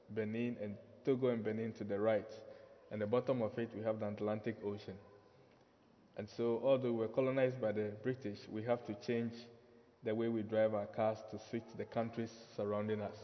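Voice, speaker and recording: A man's voice: fairly low pitch, little pitch movement, normal speed. A man in his forties with a Ghanaian accent, preaching a sermon in a friendly, conversational, self-deprecating way. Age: 40s. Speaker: pastor. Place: church hall. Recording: dull reverberant church hall.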